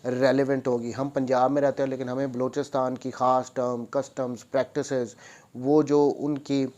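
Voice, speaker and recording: A man's voice: medium pitch, little pitch movement, normal speed, a little mellow, lo-fi sound. A man with a Pakistani accent lecturing on law, switching between English and Urdu as he reads out and explains, repeating phrases. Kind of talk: lecture, reading statutes aloud and explaining them.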